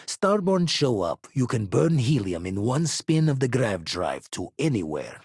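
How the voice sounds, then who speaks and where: A man playing a gruff, seasoned space explorer and ex-pirate in a video game, with a husky, rough-edged voice and wry, colorful, slangy phrasing. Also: medium pitch, very animated, measured pace, darkish tone, no echo, studio quality, heavily acted. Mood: steady, measured. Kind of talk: scripted game character dialogue.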